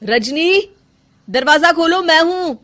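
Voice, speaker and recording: A woman's voice: very high-pitched, lively intonation, takes it slowly, bright, forceful tone, pitched above the performer's own voice, full character voice. Woman acting an earnest, insistent young husband. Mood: concerned, demanding. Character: earnest young husband, caring but puzzled.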